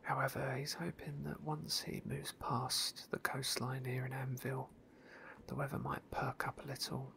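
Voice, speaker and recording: A man narrating a game playthrough in a soft, wry ASMR whisper. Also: mid-range voice, gravelly. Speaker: ASMR gaming host.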